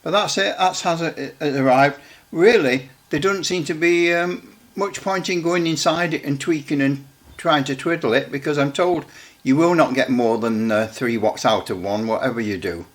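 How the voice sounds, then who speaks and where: A man giving a casual demonstration as a hobbyist, thinking aloud haltingly and adding tag questions. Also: fairly high pitch. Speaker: radio repair hobbyist demonstrating equipment.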